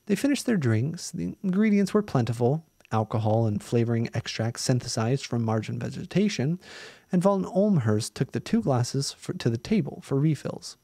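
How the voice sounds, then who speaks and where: A man's voice: moderate pace, creaky, dry recording, clean studio sound. A man in his thirties reading a science fiction story aloud on a live stream, lifting his voice a little for the dialogue. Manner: steady reading, lifts his pitch for the woman's lines. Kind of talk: live reading of a novella, doing dialogue lightly. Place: small room with green screen, indoors. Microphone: studio mic on boom arm close to mouth.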